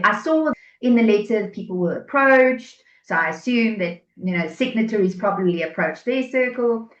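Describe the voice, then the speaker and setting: A woman's voice: mid-range voice, lo-fi sound. Roomy-sounding South African woman interviewer. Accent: South African English. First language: English. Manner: thoughtful, hesitant, searching for words. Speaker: podcast host. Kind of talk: interview conversation.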